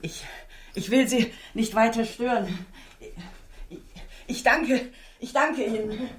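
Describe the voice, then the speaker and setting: A woman's voice: fairly high pitch, takes it slowly. An actress playing a polite, deferential and slightly anxious landlady in a German radio drama, a little hesitant. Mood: grateful, flustered. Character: deferential, anxious female landlady, respectful client.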